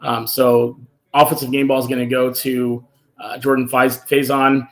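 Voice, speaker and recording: A man hosting a casual fan live stream, excited and a little hoarse from cheering, reading out viewer comments. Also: mid-range voice, normal speed. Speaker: fan show host.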